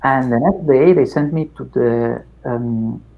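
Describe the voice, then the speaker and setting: A middle-aged man with an Italian accent, Italian his first language, talking through his past in an unhurried interview with many fillers and restarts. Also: medium pitch, gravelly, narrow, tinny audio. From Italy. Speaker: academic guest. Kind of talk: reflective interview chat.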